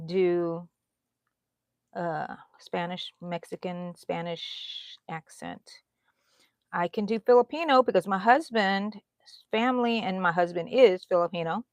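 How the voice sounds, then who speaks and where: A middle-aged woman from Texas, hosting a crochet live stream in a casual, chatty way, often jumping between loud talk and soft whispers. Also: medium pitch, no echo. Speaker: crochet channel host.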